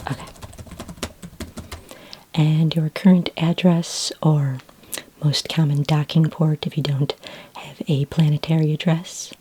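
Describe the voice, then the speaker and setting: A woman speaking in a soft, breathy whisper as a friendly, patient librarian in a gentle ASMR roleplay. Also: low voice, little pitch movement. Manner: gentle, unhurried, helpful customer-service patter. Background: computer keyboard typing.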